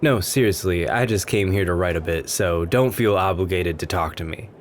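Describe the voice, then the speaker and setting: Deep-voiced, shy, gentle young-hearted guy acted by a man. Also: normal speed, natural voice. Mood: shy, friendly. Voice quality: gentle, soft-spoken. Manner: anxious, rambling, earnest. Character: shy, sweet, nervous writer chatting up a café stranger. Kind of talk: ASMR roleplay, conversational ramble. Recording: noticeable coffee shop ambience noise. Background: coffee shop ambience.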